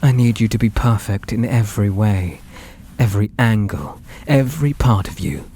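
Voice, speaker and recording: A British man playing an obsessive, possessive artist in love, speaking softly and breathily with tender menace in an ASMR roleplay. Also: lowish voice, expressive, slight background noise, close to an everyday voice. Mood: adoring, intimate. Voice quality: soft and breathy, often dropping to whispers. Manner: tender, slow, menacingly intimate.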